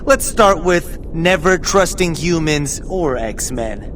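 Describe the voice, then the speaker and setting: An actor playing a haughty, vengeful, impatient mutant militant in a video game. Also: male voice, animated, takes it slowly, a bit bright. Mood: impatient, righteous. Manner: haughty, scornful, emphatic. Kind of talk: video game cutscene acting. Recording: rumbling vehicle noise behind.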